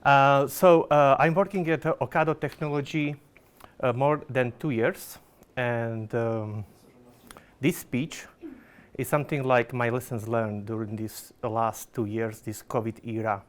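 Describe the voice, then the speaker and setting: Slovak man giving a halting talk. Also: mid-range voice, fairly even intonation. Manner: halting, many fillers and restarts, non-native phrasing. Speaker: product manager giving a talk. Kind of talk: conference presentation.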